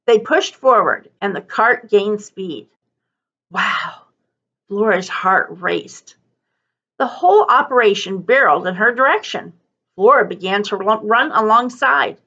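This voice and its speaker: A woman reading a children's story aloud like a teacher, with deliberate, dramatic emphasis. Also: mid-range voice, lively intonation, sharp tone, dull audio. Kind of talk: expressive children's story narration.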